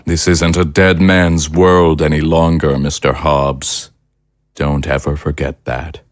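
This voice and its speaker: An actor playing a coldly polite, powerful villain in an audio drama, speaking in a formal, measured, condescending way. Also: male voice, rumbling low, little pitch movement, no echo, clean studio sound. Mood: menacing.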